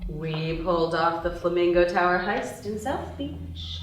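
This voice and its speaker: A woman's voice: fairly low pitch, animated, measured pace, a little hiss or hum. Woman acting a brash young woman thief, very echoey room. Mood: cocky, casual. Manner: foul-mouthed, blunt. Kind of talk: screenplay table read. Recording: echoey room, distant mic.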